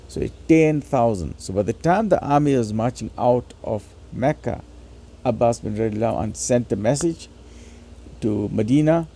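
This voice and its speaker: Male religious lecturer, husky and heavily creaky, emphatic, informal teaching style that hammers points by repetition. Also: mid-range voice, mellow. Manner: didactic, emphatic, conversational with repeated phrases.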